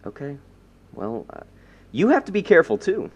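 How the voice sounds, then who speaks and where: A man playing an earnest young self-styled superhero in an audio drama, talking informally and haltingly. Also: fairly high pitch, wildly swooping pitch, a little hiss or hum. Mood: awkward, tender. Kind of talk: naturalistic audio drama dialogue. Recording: slightly dull, muffled recording.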